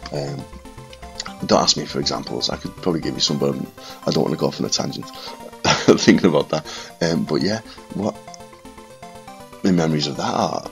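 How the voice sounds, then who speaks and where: Very deep, gravelly northwest English man. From Liverpool and Widnes, England. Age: 30s. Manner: quiet, subdued late-night talking. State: congested with a cold. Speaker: retro gaming video maker. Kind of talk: rambling casual reminiscence to camera. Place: small furnished room at home, indoors.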